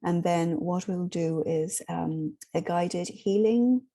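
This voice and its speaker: Hoarse lady on a video call, kinda low warm voice, lots of ums, easygoing. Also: takes it slowly. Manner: gentle, rambling, many ums. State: hoarse, sore throat. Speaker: spiritual workshop host. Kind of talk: casual talk to an online group.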